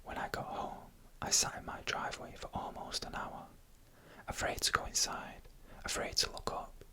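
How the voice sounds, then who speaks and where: A narrator telling stories in a soft, unhurried ASMR whisper, close to the microphone. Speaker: narrator of scary UFO encounter stories. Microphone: close microphone for headphone listening.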